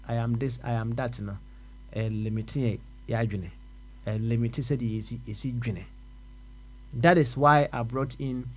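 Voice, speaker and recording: A man's voice: mid-range voice, a little mellow. Reflective man hosting radio. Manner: reflective, mixes English and Twi, asks probing questions. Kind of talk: casual radio discussion.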